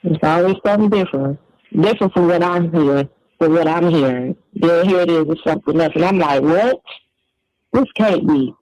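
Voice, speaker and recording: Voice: medium pitch, moderate pace, a little mellow, no echo, low-quality recording. A man talking casually in a phone conversation.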